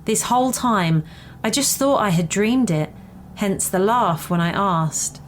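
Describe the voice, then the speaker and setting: A woman's voice: mid-range voice, lively intonation, a little slow, a little hiss or hum. A woman reading a scripted first-person story aloud in a conversational way, as a storyteller. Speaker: story reader.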